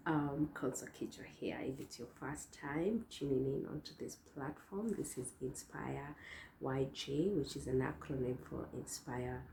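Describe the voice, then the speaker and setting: Hoarse, very low-voiced young woman vlogger. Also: measured pace, mellow, a little hiss or hum. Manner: chatty, rambling, many fillers and restarts. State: hoarse, losing her voice. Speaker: vlogger host. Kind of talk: casual talk to camera. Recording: echoey room.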